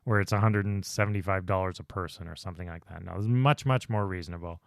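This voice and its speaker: A man's voice: low voice, fast, creaky, no room sound. Chatty podcast host man, dry sound. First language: not Hawaiian. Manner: chatty, enthusiastic, laughs often. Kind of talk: casual chat.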